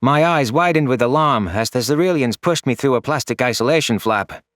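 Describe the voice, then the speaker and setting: A man's voice: medium pitch, animated, brisk, fairly bright tone, dry recording, studio quality. A man narrating a scripted science fiction story in the first person as an anguished, guilt-ridden alien. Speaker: narrator of a sci-fi audio story.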